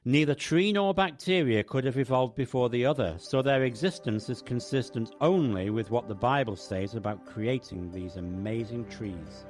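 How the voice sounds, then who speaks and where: A man's voice: medium pitch, normal speed, hardly any pauses, soft background music. A man narrating a scripted first-person radio piece as its host.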